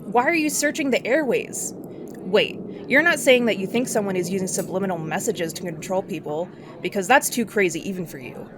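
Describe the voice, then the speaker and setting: A woman acting as a skeptical best friend, blunt and incredulous, who holds her ground. Her mid-pitched voice carries over clear traffic noise in an audio drama. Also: brisk, lightly acted.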